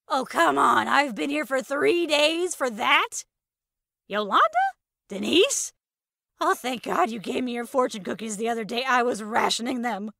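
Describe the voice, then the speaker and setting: A woman's voice: on the high side, measured pace, gravelly, pushed and bright, no echo, studio quality, full character voice. A woman voicing an exasperated, literal-minded female advisor in an emphatic, indignant manner for an audio story.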